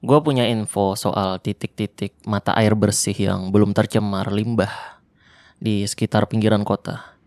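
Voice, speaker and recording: A man voicing a lonely young male survivor of a zombie apocalypse in an ASMR roleplay, talking softly and intimately in casual Jakarta slang, close to the microphone. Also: on the low side, fairly even intonation, a little slow, dry recording. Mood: hushed. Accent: Jakarta colloquial Indonesian.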